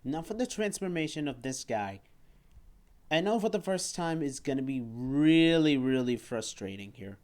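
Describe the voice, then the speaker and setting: A man reviewing and demonstrating a toy at a table indoors, talking haltingly and often repeating words and phrases. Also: a little high, expressive, a little mellow.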